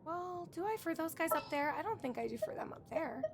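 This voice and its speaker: A woman's voice: very high-pitched, expressive, brisk. A woman giving casual, chatty live gameplay commentary, often muttering to herself. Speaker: game streamer. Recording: loud game music behind. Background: game music.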